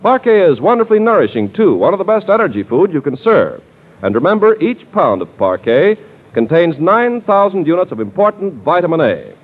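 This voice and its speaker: Animated 1940s radio announcer. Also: male voice, high-pitched, brisk, slightly rough, full character voice. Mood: upbeat, polished. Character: cheery radio announcer, salesman and storyteller. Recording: tinny, band-limited 1940s radio broadcast.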